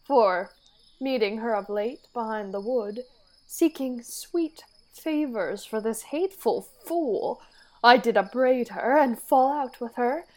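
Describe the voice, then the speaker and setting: A woman playing a commanding fairy king in an amateur Shakespeare radio play, declaiming verse in a measured way. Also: fairly high pitch, darkish tone, low-quality recording. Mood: pitying. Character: commanding, scheming fairy king, regal and composed.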